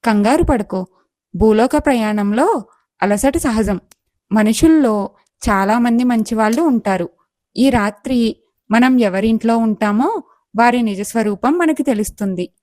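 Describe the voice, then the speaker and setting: A woman playing a wise, patient elder goddess in a moral story, calm, instructive and reassuring. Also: a little high, unhurried, pauses often, no echo. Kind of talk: acted moral story dialogue.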